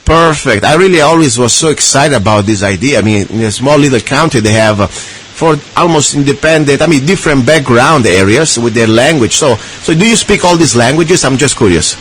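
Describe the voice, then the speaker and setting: A man's voice: medium pitch, animated, fairly quick, fairly bright tone, a little hiss or hum, rough recording. A man hosting a radio talk show, chatting casually with many restarts and fillers.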